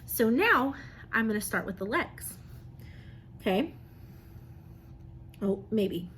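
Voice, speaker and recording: A woman's voice: fairly high pitch, expressive, takes it slowly, fairly bright tone, a little hiss or hum. A woman, an elementary teacher reading and chatting to young children, often asking them questions and affirming their answers. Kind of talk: children's read-aloud and drawing lesson.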